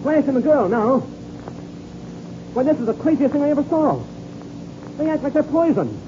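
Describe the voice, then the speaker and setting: Excitable pilot in a noisy old radio serial. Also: male voice, very high voice, normal speed, muffled recording. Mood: alarmed. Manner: urgent, exclamatory. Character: excitable adult male airplane pilot, alarmed, loyal. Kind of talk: 1930s radio serial acting.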